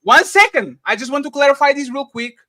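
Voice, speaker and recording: A man's voice: very high voice, expressive, fairly quick, a loud crowd. A man whose first language is Portuguese, moderating a heated panel debate, cutting in with repeated calls for one second and then explaining carefully.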